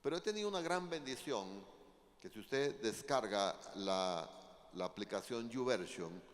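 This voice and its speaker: A man's voice: fairly low pitch, lively intonation, a little slow. A man with a Guatemalan accent preaching a sermon to a congregation, speaking emphatically. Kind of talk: sermon with personal anecdotes and Bible readings. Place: church hall, preaching to a congregation. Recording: echoey hall with long reverb.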